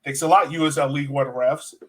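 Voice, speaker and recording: A man's voice: fairly high pitch, fairly even intonation, fast, fairly bright tone. A man hosting a casual sports fan podcast, talking in a conversational, self-questioning way. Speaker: podcast host.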